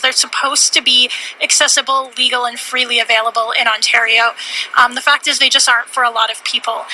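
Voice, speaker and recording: Female protester interviewee, high sharp voice, earnest, recorded on the street with faint noise. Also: rough recording. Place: outdoors on a city street.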